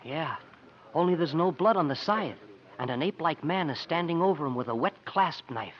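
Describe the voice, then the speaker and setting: A male actor as a loyal, eager lad in a golden-age radio play. He speaks simply and politely in a fairly high male voice, full of earnest admiration. The broadcast is muffled with age. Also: expressive, moderate pace, slight background noise, lightly acted. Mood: earnest, urgent. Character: earnest, eager boy, loyal sidekick to a showman. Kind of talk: 1950s radio comedy-drama acting. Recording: dull old radio broadcast.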